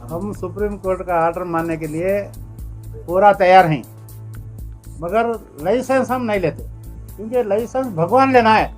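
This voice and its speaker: A man giving an unscripted interview statement as a community representative, emphatic and repetitive, with halting phrasing. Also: high-pitched.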